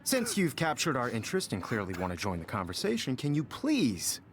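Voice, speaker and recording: A man's voice: medium pitch, huge pitch swings, a little fast, fairly bright tone, a little hiss or hum. A man playing a confident, teasing photography teacher in a video game, lecturing in an articulate, wry way.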